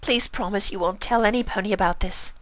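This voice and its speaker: A woman's voice: medium pitch, a little fast, no echo, voice pushed up, close to an everyday voice. A woman voicing a genteel, anxious young mother unicorn with proper, plaintive phrasing in a story reading. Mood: pleading.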